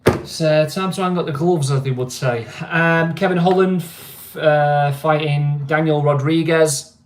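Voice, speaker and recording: Mancunian male streamer, easygoing and chatty, voice a touch high, roomy echo. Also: steady tone, unhurried. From England. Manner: chatty, laid-back, keeps asking "you know what I mean". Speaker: vlogger. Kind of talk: casual live-stream chat.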